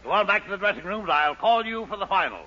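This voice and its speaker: High-voiced brisk stage manager. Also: male voice, animated. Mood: businesslike. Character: brisk stage manager dismissing performers backstage. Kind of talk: 1940s radio situation comedy acting. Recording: noisy, crowded lo-fi 1940s radio recording.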